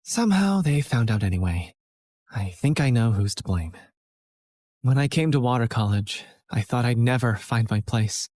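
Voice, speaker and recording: An actor playing an earnest, self-doubting young college student who narrates his own story in a scripted animated game film. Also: mid-range voice, normal speed, no room sound, close to an everyday voice. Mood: reflective, downcast. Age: young adult. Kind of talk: scripted first-person narration.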